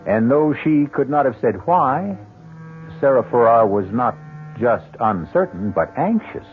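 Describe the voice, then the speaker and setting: A male host narrates a radio mystery in an ominous, deliberate way. His expressive delivery sits over clear music, with the narrow sound of an old broadcast. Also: medium pitch. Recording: muffled 1970s radio broadcast.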